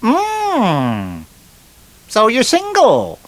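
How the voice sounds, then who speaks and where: A man putting on a high-voiced nosy lady. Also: wildly swooping pitch, dry recording, heavily acted. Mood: coy. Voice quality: high, put-on female voice. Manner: drawn-out, exaggerated delivery. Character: prim, nosy lady in a beach joke.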